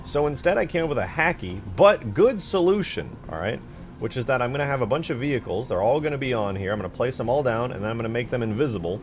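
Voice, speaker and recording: A man streams his game modding in a casual, playful way, thinking aloud as he goes. His mid-pitched voice swings up and down with lively expression. Faint music plays behind his informal, slangy commentary. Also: fast talker. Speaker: live streamer and game modder.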